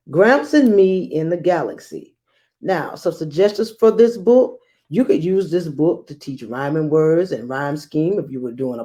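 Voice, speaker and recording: A woman hosting a children's read-aloud as a teacher, speaking in a measured, expressive storytelling manner. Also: low voice.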